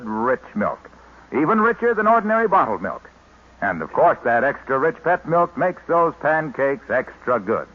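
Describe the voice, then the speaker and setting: A man's voice: on the high side, husky. A man announcing and reading a scripted commercial in an upbeat, salesy way. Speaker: radio announcer. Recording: thin, phone-like old radio broadcast.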